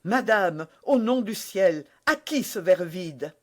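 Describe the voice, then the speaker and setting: A reader voicing a grave, brooding older musketeer who speaks in a low, heavy, broken way. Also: lively intonation, slow pace. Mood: grim. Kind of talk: audiobook character voice.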